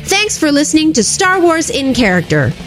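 High-voiced woman announcing over music. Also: big pitch swings, moderate pace. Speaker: podcast announcer. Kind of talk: scripted outro announcement. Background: guitar music.

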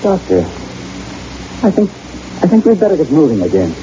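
A man's voice: on the high side, wildly swooping pitch, measured pace. An actor playing an anxious, hesitant man on a wilderness trek in an old-time radio horror drama. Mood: fearful. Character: anxious, questioning male companion on a wilderness trek. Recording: muffled, noisy vintage radio recording.

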